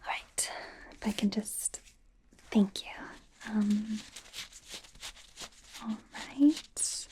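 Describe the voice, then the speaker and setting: A woman's voice: medium pitch, extremely slow, long pauses. A woman playing a nervous, friendly female werewolf doctor in a whispered ASMR roleplay, hesitant, stammering and apologetic. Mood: gentle, apologetic. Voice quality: soft, breathy whisper.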